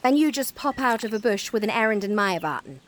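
A woman acting a practical countrywoman in a wartime audio drama. Her delivery is animated, brisk and dry, shrewd but generous. There is faint rural outdoor sound and birdsong around her. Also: fairly high pitch, a little hiss or hum, close to an everyday voice. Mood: dryly suspicious.

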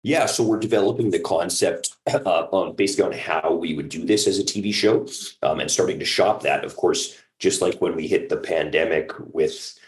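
Very deep-voiced man chatting in an interview. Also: normal speed. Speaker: guest, audio drama creator. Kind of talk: casual interview chat.

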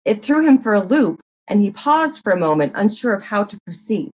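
A woman's voice: medium pitch, very animated, fairly quick. A woman host giving a dramatic scripted narration of a mystery story.

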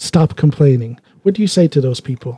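An American man hosting a podcast, chatting in animated quick bursts and laughing easily. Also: mid-range voice, slightly rough, no room sound. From United States. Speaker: podcast host. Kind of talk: casual interview chat.

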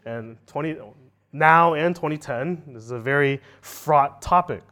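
A man's voice: a little high, a little slow, a bit bright. A man in his thirties preaching a sermon in a conversational way, tagging lines with "right?" and restarting phrases to repeat a point.

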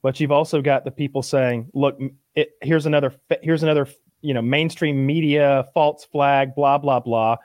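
A man's voice: medium pitch, steady tone, moderate pace, a little mellow, no echo. A man co-hosting a casual podcast chat, rambling and stacking filler words as he talks. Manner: rambling, repeats "like" often. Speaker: podcast co-host.

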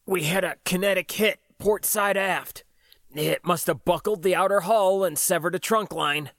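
A grim ship's engineer in a spoken sci-fi story, reporting damage quickly and matter-of-factly in a slightly raised voice, as a male narrator voices him. Close, dry sound. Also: high-pitched, a bit bright, no room sound, voice pushed up.

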